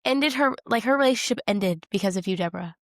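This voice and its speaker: A woman's voice: medium pitch, lively intonation, fast, fairly bright tone, no room sound, clean studio sound. A woman co-hosting a podcast in casual chat, conversational with emphatic, slangy reactions. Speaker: podcast co-host. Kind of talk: casual chat about a TV show.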